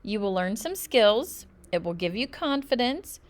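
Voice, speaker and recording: A woman's voice: mid-range voice, sing-song, measured pace, pitched above the performer's own voice. A woman voicing a kindly, encouraging father who is proud and outdoorsy, in a children's storybook. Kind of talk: children's storybook read-aloud.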